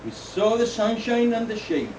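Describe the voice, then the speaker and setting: A man, a poet at a live reading, speaking in a deliberate, declamatory way with halting phrases. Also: high voice, very animated, darkish tone. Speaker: poet reading own poems. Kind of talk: live poetry reading with informal asides. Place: indoor live poetry event, recorded from off. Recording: roomy, distant, dull, noisy live recording.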